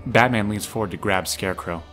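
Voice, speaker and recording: A man's voice: on the low side, fairly quick, a bit bright. A man narrating a scripted audio drama, describing the action as the narrator. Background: music.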